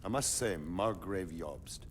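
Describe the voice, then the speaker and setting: A disapproving medieval lord in a game drama. His dry, formal voice is deep, with a faint rumble behind it. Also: takes it slowly. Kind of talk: dramatic video game cutscene acting.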